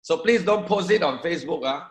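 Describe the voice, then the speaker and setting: A man in his forties talks to a seminar crowd, repeating his key words for emphasis as he draws points on a board. His voice sits a little high for a man. The sound is echoey, as in a meeting room. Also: expressive, fairly quick. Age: 40s. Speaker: network marketing speaker. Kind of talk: motivational seminar talk to a live audience.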